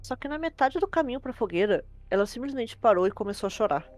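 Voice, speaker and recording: A woman's voice: a little high, animated, fast, a little mellow. A woman with a Brazilian accent narrating a horror story in an informal storytelling style.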